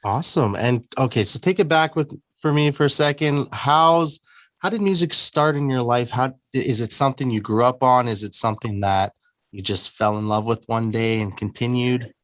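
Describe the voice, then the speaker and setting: A man's voice: medium pitch, moderate pace, a bit bright, no room sound, studio quality. A man hosting a casual interview, upbeat, asking questions with some restarts. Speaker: interview host.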